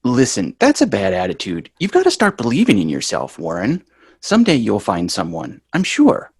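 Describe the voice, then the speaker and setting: A sheepish sitcom schoolboy, acted by a man, earnest with a wry edge. The voice is husky and lively in pitch, recorded close and dry. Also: moderate pace, no echo, heavily acted. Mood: wry, encouraging. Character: sheepish, apologetic sitcom schoolboy, smooth-talking son. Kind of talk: amateur table read of a TV sitcom.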